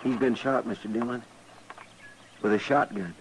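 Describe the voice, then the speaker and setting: A man's voice: mid-range voice, normal speed, natural voice. An earnest, folksy sidekick played by a man with a rural American drawl. His mid-range voice is deferential and plain-spoken, in radio western style, on an old recording with faint noise. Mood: concerned, earnest. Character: earnest, folksy, respectful male deputy sidekick.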